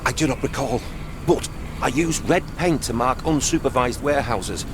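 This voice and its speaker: Man playing shifty, mid-pitched corrupt customs officer. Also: moderate pace, background noise, natural voice. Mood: evasive. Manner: brusque, evasive, protesting. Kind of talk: video game cutscene acting.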